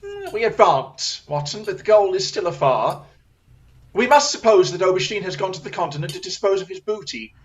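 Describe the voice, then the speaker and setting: A keen, assured gentleman detective as acted out in an audio drama, speaking in formal, precise phrases. He takes command with theatrical confidence, at a medium pitch. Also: expressive, fairly quick, natural voice. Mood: confident, explanatory.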